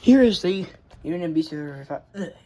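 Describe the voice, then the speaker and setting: A man's voice: fairly high pitch, lively intonation, a little fast, warm tone, highs cut off. Rambling teenage guy showing off gadgets. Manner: rambling, corrects himself often. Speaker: collector showing his weather radios. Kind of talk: casual show-and-tell.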